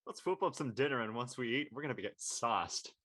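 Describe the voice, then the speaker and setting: Young man as soft-hearted high-school boy, casual and youthful, lively intonation, dry sound. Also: brisk, no echo. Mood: rowdy, teasing.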